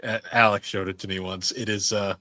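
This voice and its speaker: A man's voice: fairly low pitch, steady tone, brisk, bright, forceful tone, no echo. A man co-hosting a podcast, chatting casually and informally in loose, rambling remarks. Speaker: podcast co-host. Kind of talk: casual chat about anime and games.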